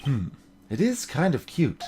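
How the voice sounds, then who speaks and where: A woman's voice: rumbling low, huge pitch swings, a little slow, dark tone, slight background noise. A woman giving casual let's play commentary while reading a video game aloud. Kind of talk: casual playthrough commentary with voiced game dialogue.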